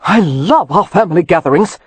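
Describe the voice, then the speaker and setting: A man's voice: sits high, expressive, moderate pace, slightly rough, no room sound. A narrator voicing a sardonic young nobleman with dry humor. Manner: wry, sarcastic.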